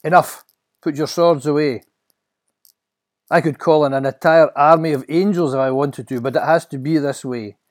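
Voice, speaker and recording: A man voicing a calm, commanding teacher in a Bible story, measured and authoritative. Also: on the high side, pitched above the performer's own voice, close to an everyday voice.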